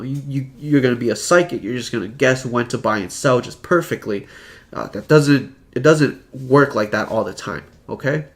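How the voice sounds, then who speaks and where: A young man with a cold, his voice a little stuffy, walks through stock charts in a loose, casual way. He pitches in the middle range and fills gaps with "uh" while he points at what's on screen. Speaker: trading channel presenter. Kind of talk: screen-share chart tutorial.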